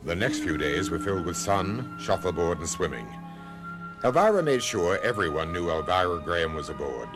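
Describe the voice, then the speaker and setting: An actor playing a wary, wry travel companion who also narrates a radio mystery drama. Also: male voice, low voice, big pitch swings, normal speed. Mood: wry narration. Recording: music behind a radio drama.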